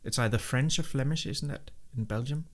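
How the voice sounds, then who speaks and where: A man's voice: mid-range voice, a little fast. A man hosting a live programming stream, chatting casually and reading viewers' messages, with many fillers and restarts. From Denmark.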